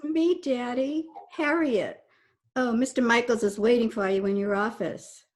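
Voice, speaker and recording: Woman playing a high-voiced girlish daughter. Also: measured pace, close to an everyday voice. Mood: bright, impatient. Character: principal's young daughter, girlish. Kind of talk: old-time radio comedy acting.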